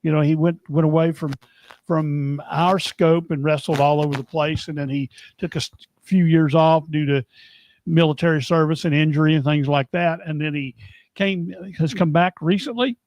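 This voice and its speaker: Joking man, slightly high voice. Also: fairly even intonation, darkish tone, rough recording. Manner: joking, teasing, quick asides. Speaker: co-host and wrestling commentator. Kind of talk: casual chat.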